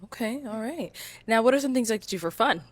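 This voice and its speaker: A woman hosting a dating show, asking brisk, upbeat questions and announcing guests. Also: a little high, lively intonation, no echo.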